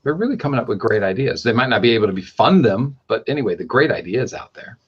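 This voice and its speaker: A man of middle pitch hosting a casual podcast, rambling along with lots of restarts and sudden lively jumps in emphasis. The sound is a little dull and lo-fi, like a modest home setup. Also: fast, husky. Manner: rambling, animated, self-correcting. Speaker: podcast host.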